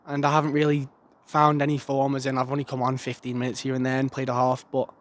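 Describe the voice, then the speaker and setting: A man's voice: medium pitch, monotone, fast. A young man giving a casual, earnest post-match interview outdoors in a stadium stand into a handheld mic. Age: young adult. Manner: casual, plain-spoken, earnest. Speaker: footballer.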